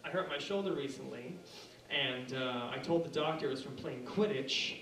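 A man's voice: medium pitch, normal speed. A man in his thirties doing a stand-up comedy routine, theatrical, with mock-formal flourishes. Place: small dark comedy bar. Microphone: handheld stage microphone. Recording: roomy club sound, distant, audience noise. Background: club audience.

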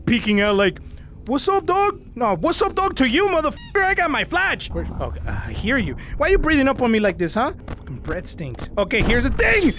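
A young man playing a VR horror game, panicking and yelling, switching between English and Spanish, and losing his voice. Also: very high voice, wildly swooping pitch, brisk, background noise. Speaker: VR gaming YouTuber. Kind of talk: loud, frantic gameplay commentary. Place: indoor room, in front of a green screen.

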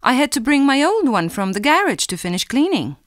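Woman reading clearly in a dry studio. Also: sits high, very animated, fairly quick, dry recording. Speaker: exam recording reader. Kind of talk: scripted reading for a listening test.

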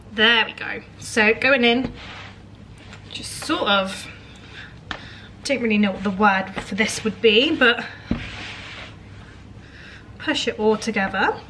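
A woman's voice: on the high side, pushed and bright. A British woman in her thirties giving a casual, chatty cooking demonstration, informally thinking aloud as she works. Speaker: home baking vlogger. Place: home kitchen, indoors. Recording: household kitchen noise, dish clatter.

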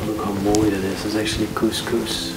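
A man's voice: fairly low pitch, level tone, a little fast, low-quality recording. A young man casually narrating a walk through an abandoned house, curious and pointing things out as he goes. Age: young adult. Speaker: urban explorer.

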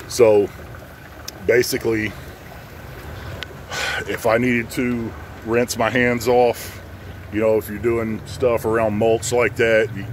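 A middle-aged American man giving an informal, plain-spoken, unscripted how-to talk, filming himself outdoors on a handheld phone. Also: fairly low pitch. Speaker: pressure washing business owner and channel host. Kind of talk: casual how-to vlog, unscripted. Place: outdoors in a residential driveway. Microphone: handheld phone at arm's length. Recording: outdoor phone audio with light background noise.

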